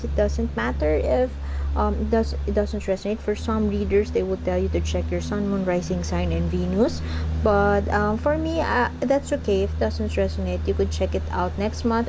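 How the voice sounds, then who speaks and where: A woman giving a casual tarot reading, speaking English as a second language and pausing often to search for words. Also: mid-range voice, noisy background. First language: not English. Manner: halting, searching for words, frequent ums. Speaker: tarot reader.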